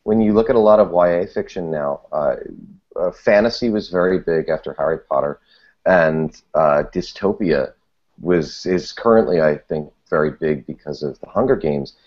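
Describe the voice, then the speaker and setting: A man's voice: sits low, normal speed, highs cut off. A man talking casually and articulately about writing as a podcast guest, in wordy, playful phrasing. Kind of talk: casual discussion of fiction writing.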